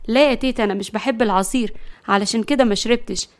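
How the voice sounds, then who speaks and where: A woman's voice: fairly high pitch, very fast, lightly acted. A woman voicing an innocent, puzzled young granddaughter in an audio story, questioning, with an Egyptian accent.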